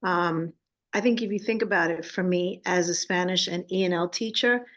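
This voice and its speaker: A woman's voice: on the low side, normal speed, fairly bright tone. A woman teacher sharing her thoughts during a workshop discussion.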